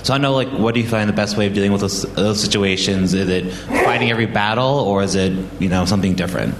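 Young american guy asking a question, says like a lot, lowish voice, roomy. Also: fast talker. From United States. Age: young adult. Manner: hesitant, frequent "like". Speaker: audience questioner, student. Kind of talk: casual spoken question. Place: audience in indoor event venue. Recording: echoey room, faint background noise.

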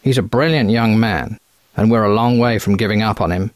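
A man's voice: lowish voice, a little fast, dry recording, close to an everyday voice. A man voicing an eager, chatty American physician with a quacking voice and folksy, clipped phrases in an audiobook. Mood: earnest, folksy. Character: thin, eager American physician running a sanitarium.

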